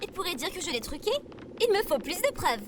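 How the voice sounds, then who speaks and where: A very high, lively female robot voice for an audio comedy. She is cheerful and naive, with bubbly, theatrical delivery that swings widely in pitch. Clear noise sits behind her. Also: fast talker. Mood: mischievous, determined. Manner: bubbly, theatrical, formal address. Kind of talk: audio fiction comedy acting.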